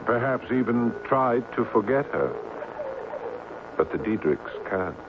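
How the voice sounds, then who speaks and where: An actor playing a wistful, evasive young English gentleman in a radio drama, hesitant and guarded in his speech. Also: medium pitch, animated, a little slow, close to an everyday voice. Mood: guarded, haunted. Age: young adult. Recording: tinny, noisy old radio broadcast.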